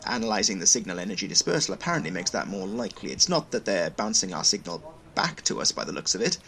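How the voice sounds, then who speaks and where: An actor playing a brisk, wordy station logistics manager in a sci-fi audio drama, explaining things with dry wit. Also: male voice, sits low, big pitch swings, vocal fry, pushed and bright, full character voice. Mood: careful, apologetic. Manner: chatty, wry, long-winded explanations.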